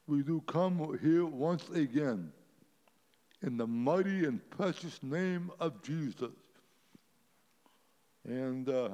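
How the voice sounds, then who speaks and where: A man leading a spontaneous prayer for his congregation, halting and repeating words, addressing God as "thee". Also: fairly high pitch, darkish tone, in a large hall.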